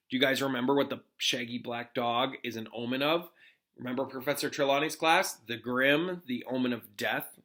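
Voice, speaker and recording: Bright-voiced man reading a story aloud dramatically. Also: medium pitch. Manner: expressive, suspenseful, pauses to question listeners. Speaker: teacher reading aloud to students.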